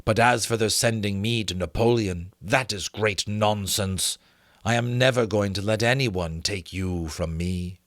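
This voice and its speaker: A man's voice: on the low side, unhurried, fairly bright tone, no room sound, clean studio sound. A man voicing a curious, loyal, devoted young male dragon in a storybook reading, musing and earnest. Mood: earnest, resolute.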